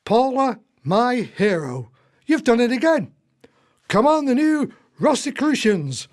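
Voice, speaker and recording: A man's voice: very high-pitched, big pitch swings, takes it slowly, heavily acted. An actor playing a wry, devoted male co-conspirator in a radio play, with a theatrical delivery. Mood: admiring.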